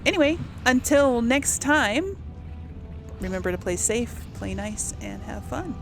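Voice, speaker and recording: A woman's voice: a little high, animated, measured pace, creaky, music in the background. A woman giving casual let's play commentary, chatting to viewers and rambling as she thinks aloud. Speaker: gaming video host.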